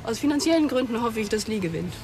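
Sharp-tongued young woman in a radio play, dry and sardonic, reverby with traffic noise. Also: on the high side, expressive, fast talker. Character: sharp-tongued, self-possessed young woman, boxer's girlfriend. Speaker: actor in a radio drama. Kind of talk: German radio play dialogue.

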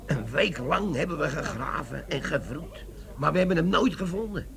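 Bossy army sergeant, gruff manner, higher male voice, radio play, busy crowd background. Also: lively intonation, moderate pace. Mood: storytelling, dramatic. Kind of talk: Dutch radio play acting.

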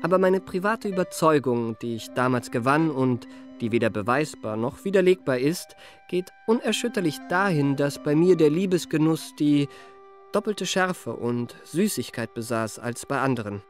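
A man's voice: a little high, animated, normal speed, faint music behind, lightly acted. An actor playing an eloquent, well-bred young confidence man in a radio play, speaking in ornate, elaborately polite phrases. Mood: smug, confiding. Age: young adult. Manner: ornate, elaborately polite, self-regarding diction. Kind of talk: literary radio play narration and dialogue.

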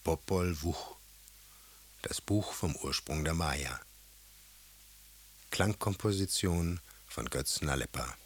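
A man reads a scripted announcement as a radio drama announcer, in a measured way. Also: a bass voice, husky. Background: music with wooden percussion.

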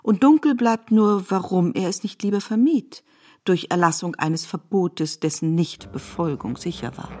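A woman reading literary narration in a deliberate, articulate way. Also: lowish voice, mellow. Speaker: narrator of a literary radio adaptation.

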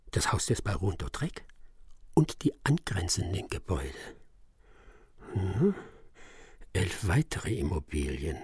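A man's voice: on the low side, gravelly, breathy edge, dry recording, studio quality, full character voice. An actor playing a shrewd English detective who mutters his deductions to himself in a theatrical radio drama style. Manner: muttering, self-talking, theatrical.

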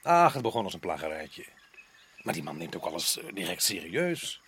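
A sardonic colonial official. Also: male voice, low-pitched, sing-song, moderate pace, fairly bright tone, slight background noise. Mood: scornful, defiant. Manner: mocking, argumentative, chuckling. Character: sardonic, outspoken atheist colonial island official, a husband. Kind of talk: radio play acting.

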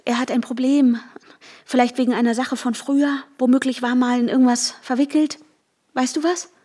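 An actress playing an anxious, insistent woman searching for her vanished lover, urgent and often hushed, in a radio drama. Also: sits high, steady tone, normal speed. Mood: urgent, pleading. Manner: urgent, questioning, often dropping to a hushed whisper. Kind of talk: radio drama dialogue and intimate first-person narration.

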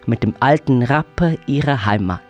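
A man narrating a radio drama in a measured storytelling manner. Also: medium pitch, animated. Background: music.

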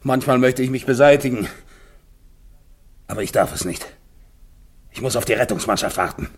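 An actor playing an anguished, guilt-ridden, dying polar expedition captain in a German radio play, with halting, brooding delivery. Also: male voice, medium pitch, husky, natural voice. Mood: self-reproachful.

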